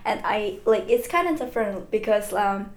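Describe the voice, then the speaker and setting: A woman's voice: mid-range voice, animated, moderate pace. Young woman from china, chatty, keeps saying like, laughs a lot, echoey room. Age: 20s. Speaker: guest, business student. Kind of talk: casual interview chat. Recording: roomy echo.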